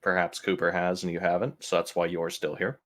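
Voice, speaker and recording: A man's voice: low-pitched, level tone, a little fast, fairly bright tone. A man playing a skeptical crew member in a tabletop sci-fi roleplay, questioning in a pointed, informal way. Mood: inquisitive. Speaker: role-player in a sci-fi horror game. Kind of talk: improvised in-character tabletop roleplay.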